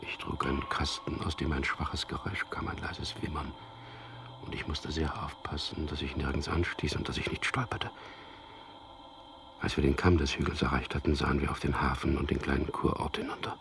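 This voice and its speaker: An actor in a German radio drama playing a resolute man on a secret mission, narrating deliberately and introspectively in a gravelly, husky, hushed voice. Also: a bass voice, fairly even intonation. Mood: tense recollection. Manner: deliberate, introspective, recounting events.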